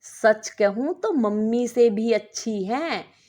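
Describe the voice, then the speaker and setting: A woman storyteller voicing a modest, contented young working wife, speaking gently and earnestly. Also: fairly high pitch, expressive, slow. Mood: earnest, fond.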